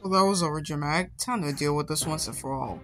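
A woman voicing an excitable, easily exasperated cartoon cat in a fandub, with a dramatic, grumbling manner. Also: low voice, fast, soft background music.

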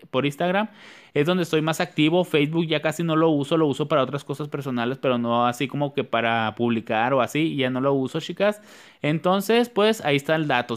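A man's voice: on the high side, clean tone. A man talking viewers through a nail tutorial in a chatty, repetitive way. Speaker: nail artist. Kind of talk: casual nail tutorial voice-over.

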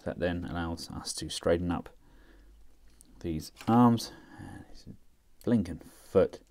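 A man talking casually through a toy review, chatty and relaxed with frequent fillers as he works the figure with his hands. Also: sits low, creaky, a little hiss or hum. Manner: relaxed, chatty, thinking aloud with many fillers.

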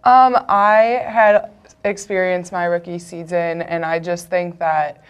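A woman's voice: lowish voice, fairly even intonation, takes it slowly, smooth. A young woman college basketball player answering interview questions casually, with lots of "like" and "just" and a trailing "so". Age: around 20. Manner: uses fillers like "like" and "just", trails off with "so". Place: small TV studio, indoors.

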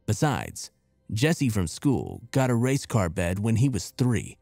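A man reading a scripted horror story in a measured, deliberate and expressive way. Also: lowish voice, vocal fry, no room sound, clean studio sound. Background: music.

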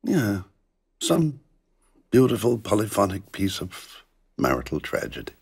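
A man playing a withered, meek middle-aged husband in an animated film drama, halting, subdued and dryly wry. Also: fairly low pitch, creaky, husky, heavily acted. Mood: weary, resigned. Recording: loud music behind the voice. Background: music.

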